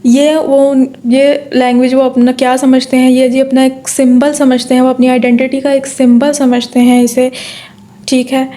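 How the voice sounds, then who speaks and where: A woman's voice: sits high, level tone, normal speed, dark tone. A woman giving an exam-prep lecture, explaining step by step, asking and answering her own questions, with frequent fillers and check-ins. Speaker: tutor. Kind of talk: exam-prep lecture in Urdu-English mix.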